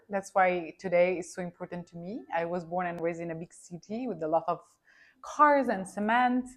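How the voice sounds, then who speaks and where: A woman from Milan with an Italian accent, a native Italian speaker, talking as a podcast guest in a reflective way, often searching for words. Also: fairly low pitch. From Milan, Italy. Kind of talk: casual interview chat.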